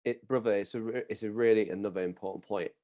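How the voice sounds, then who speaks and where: A man with a fairly low voice hosts a podcast conversation. He backs his guest up, agrees readily and calls him brother. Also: fairly even intonation, fast, warm tone. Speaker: podcast host, men's mental health group founder.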